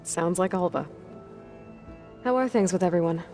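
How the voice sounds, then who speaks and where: A woman's voice: on the low side, moderate pace, dark tone, lightly acted. A woman playing a resolute young hunter and leader in a video game, plain-spoken and dryly wry. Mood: friendly. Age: young adult. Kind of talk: video game cutscene acting. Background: faint music.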